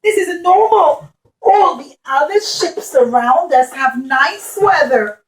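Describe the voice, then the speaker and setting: A woman's voice: high voice, expressive, slow pace, poor audio. A woman voicing a blustering, money-loving ship captain in a children's puppet show, exclaiming dramatically. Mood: alarmed, complaining.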